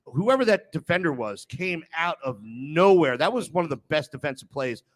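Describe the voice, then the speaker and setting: A man's voice: fairly high pitch, sing-song, moderate pace. An American man hosting an opinionated sports talk show, exclaiming and pushing back at his panel.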